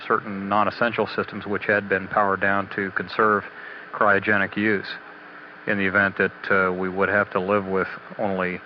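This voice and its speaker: A man giving a halting, matter-of-fact technical status briefing as a spaceflight mission commentator, on old radio audio. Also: low-pitched, level tone. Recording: dull, noisy 1980s mission radio audio.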